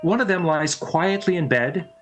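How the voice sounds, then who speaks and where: A man's voice: a little high, normal speed. A man reading as a radio drama announcer and narrator in a scripted style. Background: plucked guitar music.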